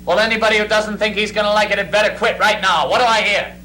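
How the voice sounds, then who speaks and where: A man's voice: high voice, fairly even intonation, fast talker, pushed and bright. A man playing a tough, driving stage director who gives commanding, emphatic orders to his cast in a theatrical readers theatre style. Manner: commanding, emphatic drill-sergeant delivery. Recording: noisy, humming amateur stage recording.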